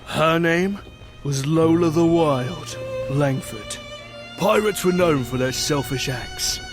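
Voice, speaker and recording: A man with a fairly high voice tells a scripted adventure tale. His delivery is dramatic storytelling, set over clearly audible music. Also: takes it slowly.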